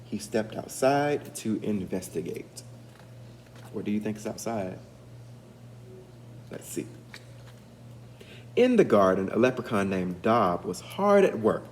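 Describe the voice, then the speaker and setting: A man with a lowish voice reads a storybook to schoolchildren. He takes his time and puts questions to his young listeners. Some room noise sits behind him. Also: big pitch swings, slow pace, pauses often. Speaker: educator reading aloud to schoolchildren. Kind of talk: storybook read-aloud.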